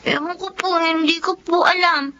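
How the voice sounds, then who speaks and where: Very high-pitched, plaintive Filipino girl. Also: steady tone, moderate pace, bright, forceful tone. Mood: respectful, uneasy. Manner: polite, plaintive, earnest. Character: young tearful daughter, dutiful and hurt girl. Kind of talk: Filipino radio drama acting. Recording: dull lo-fi audio with faint noise.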